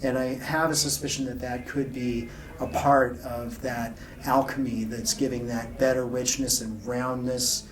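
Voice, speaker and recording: A man being interviewed at a trade show, explaining technical details in a measured, informal way. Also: mid-range voice, flat delivery, distant mic. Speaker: loudspeaker company founder being interviewed. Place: exhibit room at an audio show. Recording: off-mic, noisy exhibit room.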